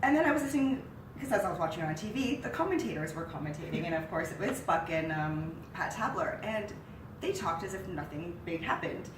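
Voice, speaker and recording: A woman's voice: fairly low pitch, brisk. A woman in her thirties with a cold and a nasal voice, chatting animatedly as co-host on a living-room couch. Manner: chatty, animated when excited. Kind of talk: casual sports chat. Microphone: far camera mic. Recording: roomy, distant, hissy.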